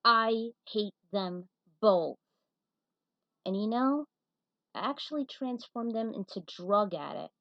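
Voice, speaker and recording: A woman's voice: mid-range voice, lively intonation, slow pace, dry recording. A woman casually commenting on and reading out her own fan fiction, opinionated and blunt, with some swearing. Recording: dull, muffled lo-fi recording.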